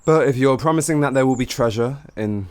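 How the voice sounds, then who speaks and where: A man's voice: mid-range voice, lightly acted. A man playing a cocky, flirty, streetwise bandit in an improvised fantasy roleplay, with teasing, theatrical delivery. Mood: considering. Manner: teasing, theatrical, drawn-out phrasing with chuckles.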